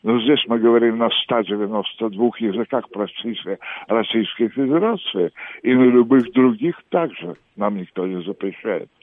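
A man's voice: on the low side, normal speed, no echo. Man on a tinny phone line. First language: Russian. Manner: digressive, ornate, many hesitation fillers. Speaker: radio caller. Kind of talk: call-in radio monologue.

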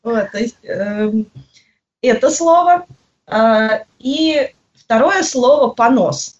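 A woman answering interview questions, pausing often and searching for her words. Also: fairly high pitch, animated. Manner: hesitant, searching for words with frequent pauses. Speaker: guest. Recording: muffled lo-fi audio.

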